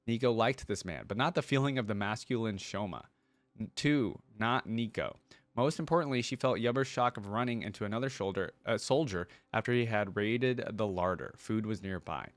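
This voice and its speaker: A man reads a story aloud in a casual, reactive way. His delivery is animated, and he slips easily into offhand commentary. The sound is dry, with very little room. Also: fairly low pitch, moderate pace, no room sound. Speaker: reaction video host.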